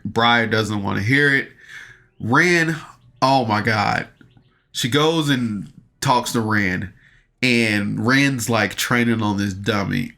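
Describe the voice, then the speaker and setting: A man's voice: on the low side, bright, forceful tone. A man hosting a review podcast, casually recapping an audio drama in an informal, rambling way.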